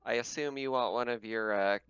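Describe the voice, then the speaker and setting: A man's voice: medium pitch, brisk, a bit bright, clear, resonant tone, no room sound. A man casually running a tabletop roleplaying game as game master, on slightly dull audio.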